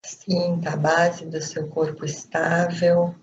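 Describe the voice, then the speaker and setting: A woman with a Brazilian accent leading a guided meditation in a calm, unhurried way with pauses. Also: on the low side. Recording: dull lo-fi audio, faint noise.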